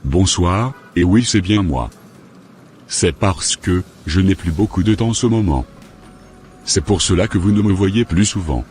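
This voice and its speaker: Low-pitched straying husband, acted by a man. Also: animated, a little slow, darkish tone, a little hiss or hum. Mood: evasive. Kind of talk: scripted cartoon dialogue.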